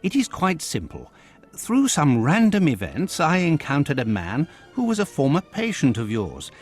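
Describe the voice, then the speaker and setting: An actor with a British accent playing an earnest, courteous gentleman physician in a video game, speaking formally. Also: fairly high pitch, huge pitch swings, moderate pace, husky, soft background music, exaggerated voice. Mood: explaining.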